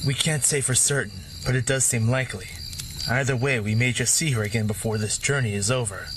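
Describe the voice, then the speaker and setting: An easygoing, wandering former warrior, as a man plays him, speaking in a middle-pitched voice with a light, teasing touch. Faint cricket sounds hang in the background. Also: fairly quick, background noise, natural voice. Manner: easygoing, teasing; reflective in narration. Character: laid-back wandering ex-warrior who narrates his own story. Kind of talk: first-person narration and dialogue.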